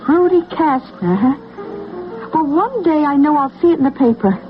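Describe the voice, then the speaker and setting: A woman's voice: sits high, animated, moderate pace. An actress playing a feisty, protective working-class woman in an old-time radio drama, blunt, slangy and wisecracking. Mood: suspicious, needling. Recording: tinny band-limited old radio broadcast, music.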